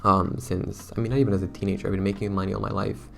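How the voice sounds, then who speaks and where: Young man with a hoarse, gravelly voice. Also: low voice, level tone, racing, slight background noise. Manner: chatty, rambling, self-conscious. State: hoarse, losing his voice. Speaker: YouTuber. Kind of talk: casual talk to camera.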